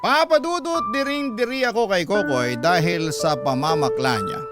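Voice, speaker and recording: A man's voice: high voice, normal speed, over loud music. A man with a Filipino accent giving dramatic first-person narration of a listener's letter as a radio host.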